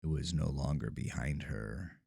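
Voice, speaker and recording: A man narrating a scripted horror story in a measured, dramatic manner. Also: extremely low pitch, creaky, darkish tone, husky, no room sound, studio quality.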